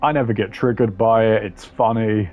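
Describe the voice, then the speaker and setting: A man's voice: lowish voice, fairly even intonation, moderate pace, a little mellow. A man giving casual, slangy tier-list commentary in a blunt, opinionated way. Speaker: commentator ranking game voice lines.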